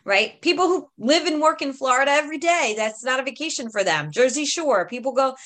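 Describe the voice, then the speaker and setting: A woman's voice: sits high, animated, a little fast, fairly bright tone. A woman from Brooklyn with a New York accent, hosting an interview in an enthusiastic, effusive, rambling way. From Brooklyn, New York, USA. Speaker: interview host. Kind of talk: casual interview conversation.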